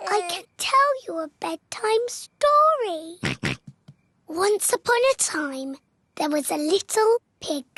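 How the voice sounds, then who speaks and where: A woman's voice: extremely high pitch, expressive, slow, sharp tone, dry recording, clean studio sound, heavily acted. A woman voicing a cheerful, bossy little girl pig in a children's cartoon, telling stories expressively with a British accent. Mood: storytelling. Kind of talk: children's cartoon dialogue and bedtime storytelling. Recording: music behind the voice. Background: children's music.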